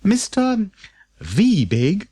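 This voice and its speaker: A man's voice: high-pitched, huge pitch swings, very slow, warm tone, husky, voice pushed up, heavily acted. A man voicing a timid, polite, helpful postman with a small, hesitant voice in a children's audiobook. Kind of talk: audiobook character acting.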